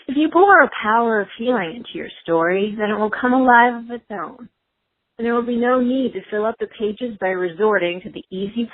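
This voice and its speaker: A woman's voice: mid-range voice, no room sound. Woman reading aloud, dull lo-fi recording. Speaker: listener contest entrant. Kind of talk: reading a book excerpt aloud.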